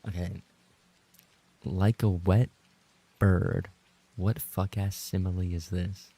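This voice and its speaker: Male streamer, low creaky voice, slightly dark and a bit husky, casual chat delivery, playful mock-indignant, sweary. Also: flat delivery, slow. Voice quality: gravelly, creaky. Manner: playful mock-indignant, sweary, repeats phrases when flustered. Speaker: livestream host and roleplay voice actor. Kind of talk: casual livestream chat, reading and reacting to viewer messages.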